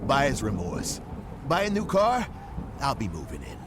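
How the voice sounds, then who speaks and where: A man's voice: mid-range voice, huge pitch swings, unhurried. A man playing an ominous, menacing personification of regret in a comic radio ad. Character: buyer's remorse personified, ominous intruder moving in. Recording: loud thunder and vehicle noise behind.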